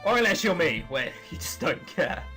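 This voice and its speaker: A young man with an English accent and a high voice reads a story game aloud. His pitch swings widely as he moves between theatrical narration and sarcastic, sweary remarks. Faint music plays behind him. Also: big pitch swings, moderate pace, sharp tone. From England. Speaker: host reading and commenting on a visual novel. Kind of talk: playful read-aloud with wry asides.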